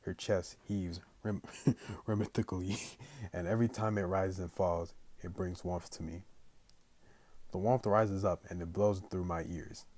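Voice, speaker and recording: A man hosting a gaming video, reading a story aloud casually with slangy, animated asides. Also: deep voice, a little slow. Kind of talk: casual let's play, reading story aloud with excited reactions.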